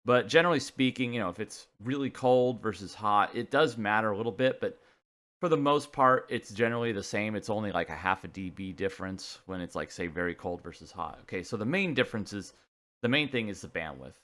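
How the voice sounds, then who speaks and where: A man with a fairly low voice presents an informal technical explainer. His delivery is casual and halting, as if working things out aloud while he goes. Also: fairly bright tone. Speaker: presenter of a CB radio technical video.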